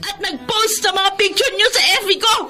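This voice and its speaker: An actress playing a furious betrayed girlfriend, shrill and accusing, in melodramatic Tagalog radio drama. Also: steady tone, brisk. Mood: enraged. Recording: loud music over the voice.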